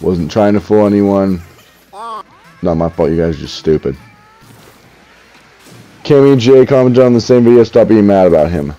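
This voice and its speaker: A young man with a deep voice, reading viewer comments aloud while gaming at home, dry and a little sarcastic, often stammering as he restarts his sentences. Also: moderate pace, long pauses, dark tone, soft background music. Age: young adult. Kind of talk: casual commentary while playing. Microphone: headset. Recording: slightly dull lo-fi audio.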